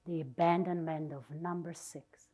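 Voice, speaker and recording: Low-pitched woman giving a dramatic reading. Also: steady tone, unhurried, warm tone. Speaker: reader at a literary event.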